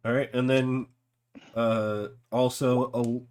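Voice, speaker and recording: A man running a tabletop fantasy game casually as game master, halting as he thinks. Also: mid-range voice, little pitch movement, a little mellow, clear, resonant tone.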